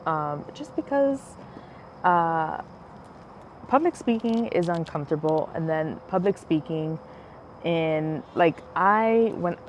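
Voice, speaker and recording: A woman's voice: deep voice, animated, takes it slowly. A woman from the United States whose first language was Spanish, hosting a casual wine podcast in a chatty way, with many "like"s and "um"s. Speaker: podcast host. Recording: faint background noise, passing airplane.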